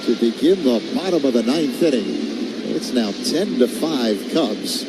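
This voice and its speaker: American guy doing baseball radio play by play, mid pitch, really loud park noise behind him. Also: animated, unhurried. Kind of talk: live radio sports commentary. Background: loud ballpark ambience.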